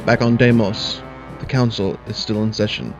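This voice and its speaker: A man's voice: on the low side, fairly even intonation, moderate pace, highs cut off, faint music behind. A man reading the scripted narration of an audio drama in a plain, matter-of-fact way.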